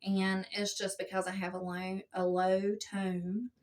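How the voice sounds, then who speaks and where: Smooth-voiced woman giving gentle guidance. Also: little pitch movement, distant mic. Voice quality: smooth, low-toned soothing voice. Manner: gentle, unhurried, checks in with frequent "okay?". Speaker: spiritual teacher. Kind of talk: casual spiritual self-help talk.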